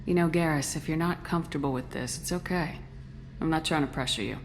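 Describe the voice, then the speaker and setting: A woman's voice: deep voice, a little fast, a little hiss or hum. A woman playing a confident, direct military commander in a video game, assured and supportive. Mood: sincere.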